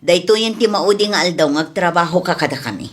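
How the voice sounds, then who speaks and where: A composed married lady of the house acted by a woman in an audio drama. Her voice is lowish and husky, and she speaks with measured, firm authority. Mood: stern. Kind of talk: domestic audio drama acting.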